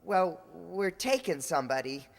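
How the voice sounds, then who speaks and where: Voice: mid-range voice, big pitch swings, measured pace, creaky, big-room sound. A performer playing an eager, slangy schoolboy nephew in old-time radio comedy style, boyish and casual. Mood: sheepish, eager.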